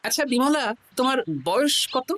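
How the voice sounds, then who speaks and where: A woman's voice: high-pitched, fairly even intonation, normal speed, a little hiss or hum, lightly acted. A woman voicing a witty, courteous royal jester in a cartoon, playful and probing. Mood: playful, quizzing. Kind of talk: cartoon voice acting. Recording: loud music behind the voice.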